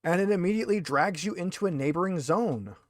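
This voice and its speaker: A man with a slightly high voice runs a tabletop roleplaying game as game master. He explains rules in an informal way, recorded close and dry. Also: lively intonation, fast talker, no room sound.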